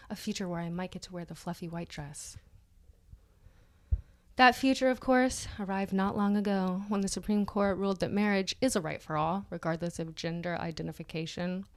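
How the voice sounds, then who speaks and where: A woman co-hosting a comedy podcast, reading an article aloud in a casual, mocking way with giggly asides. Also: medium pitch. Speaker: podcast co-host.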